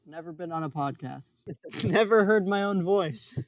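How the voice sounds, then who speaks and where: A man co-hosting a podcast in casual comedic chat, speaking in a hesitant, deadpan way. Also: high-pitched, measured pace, dry recording, low-quality recording. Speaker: podcast co-host.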